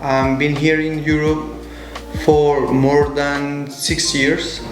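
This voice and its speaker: A young adult Colombian man with a Spanish accent, speaking thoughtfully as an interview guest in halting non-native English. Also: a little high, completely flat delivery. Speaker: guest, show jumper. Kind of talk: conversational interview. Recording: echoey room, faint music.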